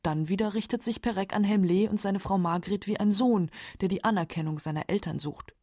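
A woman's voice: mid-range voice, a little fast, warm tone, no room sound, studio quality. A woman narrating a scripted radio documentary.

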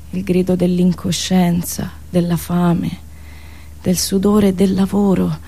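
A woman radio host telling a satirical story theatrically, expressive and deliberate, with dramatic pauses between listed phrases. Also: medium pitch, vocal fry, breathy edge.

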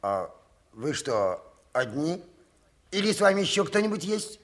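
An actor playing an obsequious, wary sidekick to a poacher in an old Soviet radio play. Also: male voice, on the high side, very animated, unhurried.

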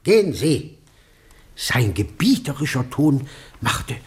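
An actor playing an eccentric, awed eyewitness in a German radio drama, telling of a ghostly sighting haltingly and theatrically, with breathy hushed passages. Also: male voice, mid-range voice, very animated, exaggerated voice. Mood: dramatic, halting.

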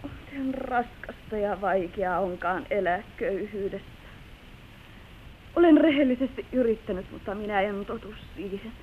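An actress playing an unhappy young wife, torn and weary of poverty, in a plaintive, theatrical old radio drama style. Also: female voice, medium pitch, natural voice. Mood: weary, despondent. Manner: plaintive, slow theatrical delivery. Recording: old muffled narrow-band radio recording, hissy.

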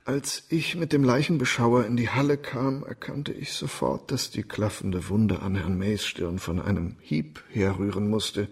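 An actor playing an agitated, self-pitying doctor who pleads his case haltingly in a German radio crime drama. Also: fairly low pitch, vocal fry, breathy edge.

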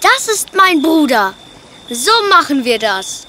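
A woman's voice: sits high, very animated, slow pace, bright, forceful tone, a little hiss or hum, heavily acted. A woman voicing a cocky, carefree young male pig with a boastful, breezy manner in a children's fairy-tale cartoon. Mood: defiant, confident.

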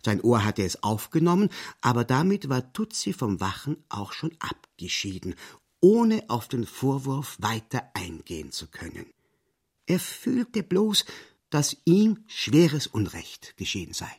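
Husky, expressive male narrator. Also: medium pitch, a little slow. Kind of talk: scripted literary narration for an audio drama.

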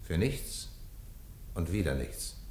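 A man playing an emphatic defense lawyer, pleading rhetorically and deliberately in a 1950s radio play. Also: sits low, sing-song, darkish tone, slight background noise, exaggerated voice. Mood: earnest pleading. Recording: 1950s radio recording, echoey courtroom.

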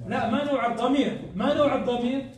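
A man's voice: very high-pitched, steady tone, quick pace, slight background noise. A man teaching grammar to a class, often stopping to quiz his students with prompt questions they answer aloud. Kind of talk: classroom lesson. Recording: echoey room, distant mic.

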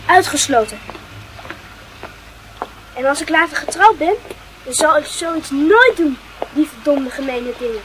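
A woman's voice: sits high, lively intonation, a little slow, pauses often, a bit bright, a little hiss or hum, low-quality recording. A woman playing a prudish, indignant, gossipy schoolchild in a radio play, speaking emphatically. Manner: emphatic, repetitive.

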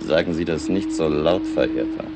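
An actor playing a wry, cynical local tavern regular in a German radio play, ironic and knowing. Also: male voice, extremely low pitch, normal speed, vocal fry, over music. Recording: 1960s radio play with crowd behind.

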